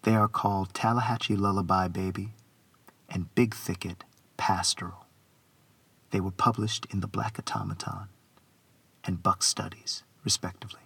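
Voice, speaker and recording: A man's voice: low voice, expressive, normal speed, gravelly, long pauses, fairly bright tone, slightly rough. A man reciting his own poetry dramatically, in an incantatory, rhythmic delivery with repeated phrases. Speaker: poet reading own work.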